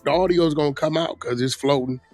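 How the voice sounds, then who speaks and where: A man's voice: a little high, fast talker, darkish tone. A man chatting casually and bluntly, with emphasis and a lot of slang. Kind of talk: casual opinionated chat about street gossip. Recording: music playing under the voice. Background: music.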